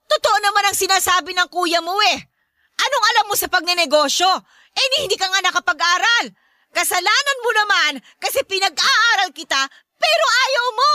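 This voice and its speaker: A woman's voice: very high-pitched, animated, fairly quick, pushed and bright, dry recording, clean studio sound, full character voice. An actress playing a harsh, belittling Filipino mother in a radio drama, scolding and demanding. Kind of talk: Tagalog radio drama acting.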